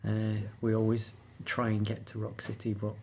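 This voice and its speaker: A man's voice: lowish voice, completely flat delivery, moderate pace, darkish tone, a little hiss or hum. A man chatting casually as a guest, laughing often while telling stories. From England. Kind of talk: casual chat.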